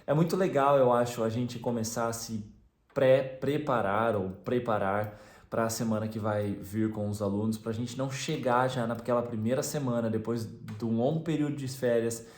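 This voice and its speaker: A man's voice: medium pitch, steady tone, moderate pace, darkish tone. Brazilian man vlogging in an echoey room. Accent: Brazilian Portuguese. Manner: rambling, frequent "né" fillers and restarts. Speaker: teacher and vlogger. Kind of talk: casual vlog monologue.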